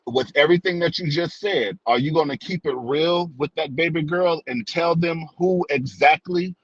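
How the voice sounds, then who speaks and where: A man with a rough, husky voice on dry, dull-sounding audio. He talks conversationally but insistently, layering repeated phrases into long, pointed questions. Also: on the high side, moderate pace, no echo. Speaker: questioner on a talk show.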